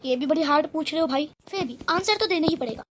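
A woman's voice: high voice, fast, lightly acted. A woman voicing a confident male contestant who solves riddles in a cartoon quiz. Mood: confident, eager. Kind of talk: scripted cartoon quiz answers.

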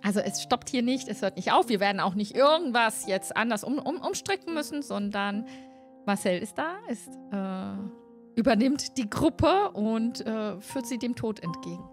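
A woman's voice: fairly high pitch, lively intonation, normal speed. Middle-aged woman hosting over background music. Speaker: host of a tabletop role-playing stream. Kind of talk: casual interview chat. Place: indoor room, on a video call. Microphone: close desk microphone with headset.

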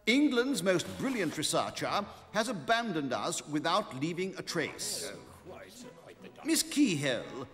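An elderly British college head, pompous and theatrical. His highish voice swoops dramatically in a reverberant hall, in cartoon style. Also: fairly bright tone. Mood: grave, pompous. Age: older. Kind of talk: cartoon acting. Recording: echoing lecture hall, faint music.

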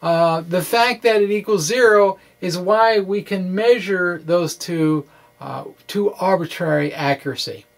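A middle-aged man giving an informal physics explainer in a halting, conversational, wry way, speaking into a microphone indoors. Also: high voice, vocal fry. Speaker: physics blogger. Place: small indoor room with bookshelves. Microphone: microphone on a stand in front of him.

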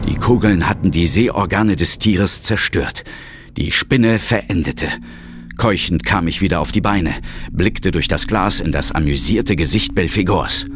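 A man's voice: deep voice, a little slow, heavy background noise. An actor as a tense male ghost hunter, narrating his ordeal in the first person with suspense. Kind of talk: suspenseful scripted horror narration. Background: loud dramatic music and effects.

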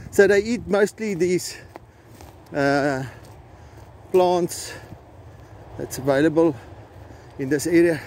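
A middle-aged South African man who grew up speaking Afrikaans, hosting a casual vlog on a coastal trail, talking slowly with many fillers and restarts into a handheld phone. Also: a little high, darkish tone. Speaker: vlogger host. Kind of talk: casual outdoor vlog narration. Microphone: phone camera held by hand. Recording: faint outdoor noise.

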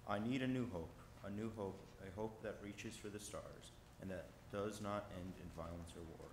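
A young man reading a poem aloud at a church lectern, earnest and measured. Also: on the low side. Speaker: youth reader. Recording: echoey church room with noise.

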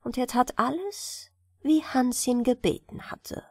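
A woman narrating a fairy tale as a bedtime audiobook, in an expressive, measured storytelling manner. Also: high-pitched, breathy edge, no room sound, clean studio sound.

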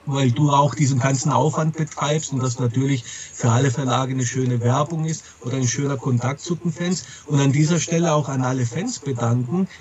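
A man being interviewed as a publisher, chatting casually in a rambling way and often repeating himself. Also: mid-range voice. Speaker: comics and audio drama publisher, interview guest.

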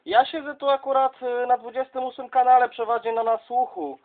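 High-pitched man chatting on CB radio. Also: level tone, a little fast. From southern Poland. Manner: chummy, addresses others as colleague. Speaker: CB radio operator. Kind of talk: casual radio contact chat. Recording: dull, lo-fi sound.

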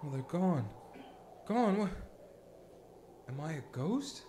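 A man acts a desperate, bewildered family man in a radio drama. His high voice swings widely as he stammers and pleads. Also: slow pace, a little hiss or hum. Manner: stammering, excitable. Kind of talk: radio play acting.

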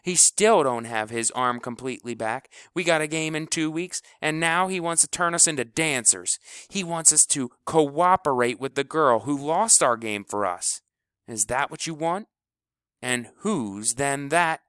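A man voicing an angry boy bully who shouts accusations in rough, heated speech. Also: on the low side, animated, fairly quick, hardly any pauses, no room sound, clean studio sound. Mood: angry, accusing.

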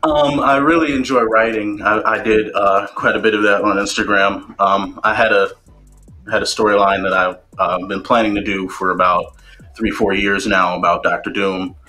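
A man's voice: on the low side, fairly even intonation, normal speed, bright, forceful tone, soft background music, low-quality recording. A young man, a guest photographer on a video call, chatting casually and laughing often as he teases his hosts. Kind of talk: casual interview chat. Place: home room, video call.

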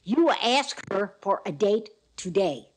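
A woman's voice: medium pitch, sing-song, slow, husky, full character voice. An older woman playing a caring, nagging mother of a grown son in old radio comedy style. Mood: insistent. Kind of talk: old-time radio sitcom acting. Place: indoors at home on a video call.

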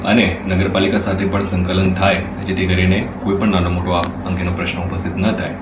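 A man speaking as a senior district official, giving an unscripted statement in halting, formal bureaucratic phrases. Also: low voice, monotone. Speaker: district collector. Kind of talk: official statement, spoken off the cuff. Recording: echoey room, clear background noise.

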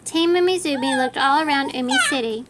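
High-pitched woman reading a storybook. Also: brisk. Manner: animated, encouraging. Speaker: parent reading a picture book aloud to a child. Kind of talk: read-aloud children's storybook narration. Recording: faint background noise.

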